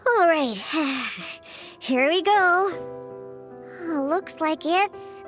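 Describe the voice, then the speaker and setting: A woman's voice: very high-pitched, very slow, exaggerated voice. A woman voicing an earnest, easily flustered, chatty young girl in a video game, with music behind her. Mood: hesitant. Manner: excitable, chatty, indignant. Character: earnest, flustered, high-voiced girl who mixes drinks. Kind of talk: animated video game voice acting.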